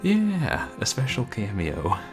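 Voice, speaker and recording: A man streaming a video game, chatting casually and soft-spoken with viewers, often chuckling. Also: on the low side, huge pitch swings, slow. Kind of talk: casual let's-play chat. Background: game music.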